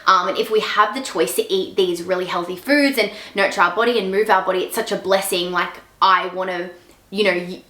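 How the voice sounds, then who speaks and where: Chatty young woman, bright voice. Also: fairly low pitch, fairly quick, echoey room. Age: 20s. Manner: chatty, many fillers like "like" and "um". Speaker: fitness content creator. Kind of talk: casual vlog Q&A.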